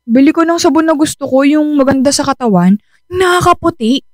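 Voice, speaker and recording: Very high, childish Filipino wife played by an actress. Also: female voice, brisk, darkish tone, no echo, studio quality, lightly acted. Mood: coy, wheedling. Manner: cutesy baby talk, whiny. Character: childish, clingy young wife, pouting and baby-talking. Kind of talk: Filipino radio drama acting.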